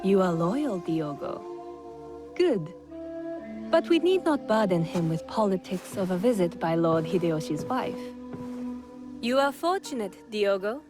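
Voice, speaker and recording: A woman playing a poised, shrewd noblewoman in a game, composed, deliberate and authoritative. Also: medium pitch, very animated, music in the background. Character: poised, commanding noblewoman, politically shrewd lord's wife. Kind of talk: formal dramatic game cutscene acting.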